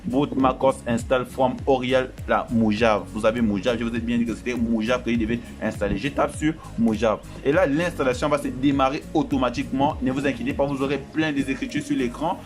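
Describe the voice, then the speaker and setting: A man narrates a screen tutorial, talking casually and reassuring his viewers as friends. French is not his first language. His voice sits at an ordinary middle pitch, and loud background music runs under the whole recording. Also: fairly even intonation, fairly quick, few pauses. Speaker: tech tutorial host.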